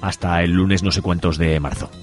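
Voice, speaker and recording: A man's voice: deep voice, steady tone, normal speed. A man with an accent from Spain, hosting a radio show in an informal, jokey way. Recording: music under the voice.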